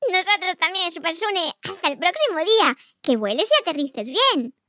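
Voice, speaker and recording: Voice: very high voice, expressive, normal speed, sharp tone, no room sound, clean studio sound, heavily acted. A performer voicing a small, eager, childlike underwater creature who explains things with exclamations on a children's podcast. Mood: excited, friendly. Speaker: children's show co-host. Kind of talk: scripted children's podcast chat.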